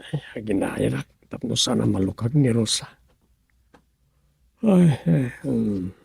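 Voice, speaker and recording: A husky, gravelly village schemer. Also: male voice, mid-range voice, expressive, slow, a little mellow, no room sound, heavily acted. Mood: musing, plotting. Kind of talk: Ilocano radio comedy drama acting.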